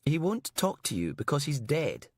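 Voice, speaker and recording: A haunted woman held captive, performed by a male narrator, speaking in a raised, soft pitch. She is weary, subdued and matter-of-fact, a figure from a dark audiobook thriller. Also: very animated, moderate pace, dry recording, clean studio sound. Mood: calm. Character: weary captive woman, calm, haunted, talks to dead husband.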